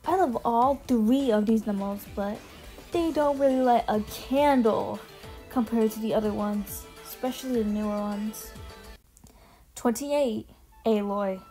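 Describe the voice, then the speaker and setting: A young woman with a fairly high but very soft-edged, mellow voice gives casual commentary. She talks in an informal, slangy way and leans on her opinions with emphasis. Music plays under her voice. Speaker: video creator ranking game trailers.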